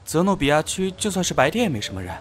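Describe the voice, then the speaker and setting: A man playing an eager, timid young subordinate knight in an animated drama, hesitant and earnest. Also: a little high, rapid-fire. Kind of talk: Chinese animated drama acting. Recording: loud music under the voice.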